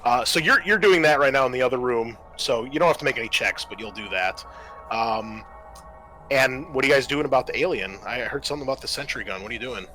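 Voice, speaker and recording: A man's voice: mid-range voice, expressive, fast talker, sharp tone, soft background music, rough recording. A man acting as game master, improvising the narration of a tabletop game.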